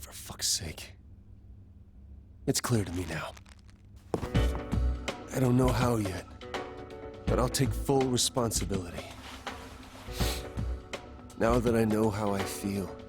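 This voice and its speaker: An actor voicing a conflicted young man who thinks aloud about his feelings in a game cutscene. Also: on the low side. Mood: resolute. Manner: earnest, searching, sometimes exasperated. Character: conflicted young man torn over his love life. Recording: with background music.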